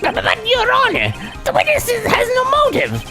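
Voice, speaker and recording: A woman's voice: extremely high pitch, huge pitch swings, normal speed, music in the background, pitched above the performer's own voice, full character voice. A woman voicing an indignant, flustered male prosecutor in a courtroom game, protesting in an exaggerated style. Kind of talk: exaggerated video game voice acting.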